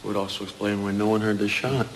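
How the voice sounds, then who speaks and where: A man playing a brisk, wry police detective in a radio crime drama, matter-of-fact in manner. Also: fairly low pitch, level tone, lightly acted. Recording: noisy ambient background.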